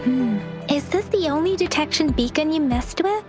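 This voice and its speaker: A composed, high-voiced young soldier. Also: female voice, lively intonation, moderate pace, over music. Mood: probing. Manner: polite, composed. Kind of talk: video game voice acting.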